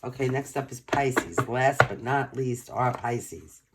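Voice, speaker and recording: A tarot reader from Brooklyn, nearly out of voice and hoarse, chatting informally straight to the viewer during a card reading. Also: mid-range voice, expressive, slow. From Brooklyn, New York. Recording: loud background noise with clicks.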